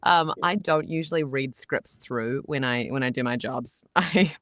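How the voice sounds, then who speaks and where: A woman's voice: very deep voice, a little slow, a bit bright, dry recording, studio quality. A woman voice actor chatting on a video call, rambling with fillers and frequent giggles. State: vocally tired. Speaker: voice actor guest. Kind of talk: casual video call interview. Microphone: home booth microphone.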